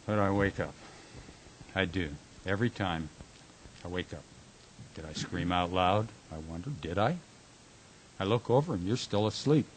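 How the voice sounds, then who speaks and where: A man plays a troubled husband in a radio drama, his low voice brooding and halting. He is haunted and anguished, with intensity that swells and drops away. A clear hiss sits on the recording. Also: lightly acted, low-quality recording. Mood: drained, bitter. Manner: brooding, halting, swinging from hushed to shouting. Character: anguished husband haunted by nightmares and memory gaps. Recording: hissy amateur workshop recording.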